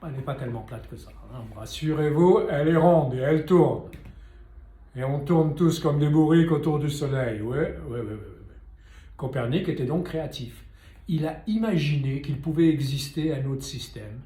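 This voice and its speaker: A man's voice: a little high, moderate pace, mellow. An older man, a painter and sculptor, telling a story and talking straight to viewers in a chatty, ironic way. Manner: chatty, ironic asides, addresses viewers directly. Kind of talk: storytelling talk to camera. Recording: echoey room.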